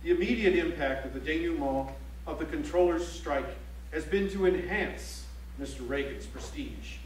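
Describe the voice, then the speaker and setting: A man playing a grave, pointed political chronicler, reciting formally on stage in a measured, emphatic way. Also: a little high, lightly acted. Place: library auditorium stage. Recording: echoey auditorium, distant mic, faint hum.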